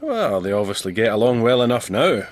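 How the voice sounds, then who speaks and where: An actor playing a brisk, blunt Scottish country doctor in a naturalistic radio drama, plain-spoken with hesitant starts. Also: male voice, mid-range voice, sing-song, natural voice. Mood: sceptical, impatient.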